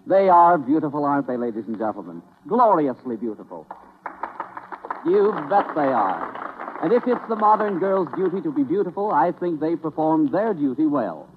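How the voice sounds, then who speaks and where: A man's voice: fairly high pitch, sing-song, moderate pace. A man announcing on 1930s radio with theatrical advertising patter and a salesman's delivery. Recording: tinny 1930s radio broadcast recording.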